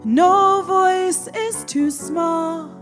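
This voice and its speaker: A woman sings in a soulful style at a very slow pace. Her voice is high, with faint music around it. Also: fairly bright tone. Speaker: vocalist.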